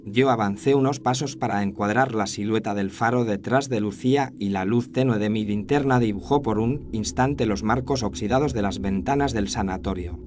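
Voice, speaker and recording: A man's voice: lowish voice, moderate pace, hardly any pauses. A man reading a scripted first-person horror story as its narrator. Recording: clear noise and ambience behind voice.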